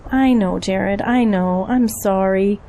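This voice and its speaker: A woman's voice: medium pitch, slow, warm tone, a little hiss or hum. A woman acting in an audio drama as a busy, earnest political campaign manager and single mother. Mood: earnest, pleading.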